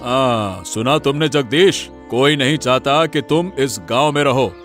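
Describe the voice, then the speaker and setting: Man playing a stern mid-pitched village boss. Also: unhurried, a bit bright, faint music behind, close to an everyday voice. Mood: stern judging. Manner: reproachful, authoritative. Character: stern village boss passing judgement, unmoved. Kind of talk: Hindi moral-story cartoon voice acting.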